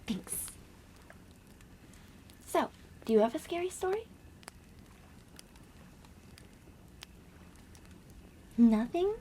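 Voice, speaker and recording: A woman playing a gentle young girl on a camping trip in an ASMR roleplay, speaking softly and breathily with hushed, dramatic pauses. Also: high-pitched, slight background noise. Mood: soft, playful, uneasy. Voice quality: soft, breathy whisper. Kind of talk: ASMR roleplay, soft whispered storytelling.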